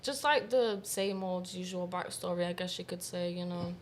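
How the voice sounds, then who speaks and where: Woman, lowish voice, candid and self-assured, faint noise behind. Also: normal speed. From Manchester, England. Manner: confident, candid, laughs easily. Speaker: guest, professional dominatrix. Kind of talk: casual interview chat.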